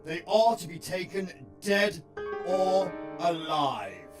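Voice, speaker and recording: Irritable ruler played by a male actor, high-pitched and animated, commanding, loud music behind. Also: slow pace, exaggerated voice. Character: imperious, irritable male president of a Time Lord society. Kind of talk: audio drama acting.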